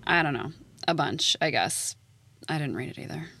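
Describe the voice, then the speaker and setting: A woman's voice: sits low, lively intonation, normal speed, sharp tone, slightly rough, exaggerated voice. A woman playing a jaded, blunt schoolteacher with a dry, sardonic manner, in a naturalistic audio drama. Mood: cynical. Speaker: actor in an audio play.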